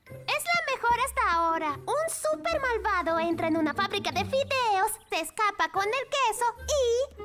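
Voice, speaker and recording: A woman voicing an excitable, chatty young girl bookshop owner in a dramatic cartoon dub style. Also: extremely high pitch, normal speed. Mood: dramatic, enthusiastic. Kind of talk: animated cartoon dub acting. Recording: loud music behind voice. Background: cartoon music.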